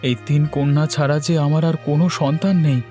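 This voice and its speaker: A man's voice: a little high, fairly even intonation, fast, warm tone, faint music behind, natural voice. A man playing a devout, anxious king and father in a fairy-tale cartoon, with a theatrical, deferential and pleading manner. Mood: worried, pleading.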